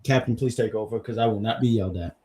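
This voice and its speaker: A man's voice: fairly low pitch, fast talker, dark tone. A man co-hosting a review show, chatting casually with a joking, teasing, rambling manner and repeating his phrases as he gives opinions. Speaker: co-host of a wrestling review show. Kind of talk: casual chat, opinionated commentary.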